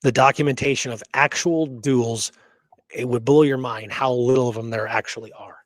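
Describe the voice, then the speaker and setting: A man's voice: medium pitch, steady tone, normal speed, dry recording. A man hosting a casual history discussion, opinionated and emphatic, repeating words for stress. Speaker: host of a history talk show.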